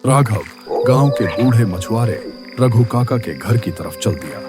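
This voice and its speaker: A man's voice: medium pitch, animated, a little slow, loud music behind the voice. A man reading scripted horror story narration.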